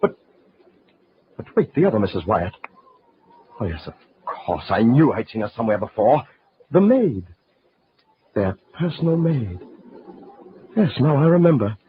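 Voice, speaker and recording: A man playing a horrified gentleman in an old-time radio horror play, dramatic and halting. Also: mid-range voice, sing-song, slight background noise. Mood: dawning horror. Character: horrified gentleman piecing together a murder. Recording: muffled old radio recording.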